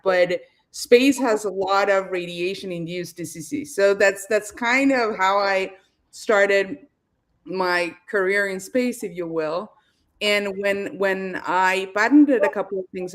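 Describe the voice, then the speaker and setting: A woman from Argentina with an Argentine accent, a guest telling her career story in a casual interview, lively and laughing between phrases. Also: mid-range voice. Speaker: guest, space company founder.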